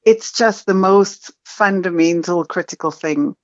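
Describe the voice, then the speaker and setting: A woman's voice: on the low side, a little slow, darkish tone, no room sound, lo-fi sound. A middle-aged woman with a South African accent, a podcast guest telling her story frankly with self-deprecating humor over a video call. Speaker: marketing consultant, podcast guest.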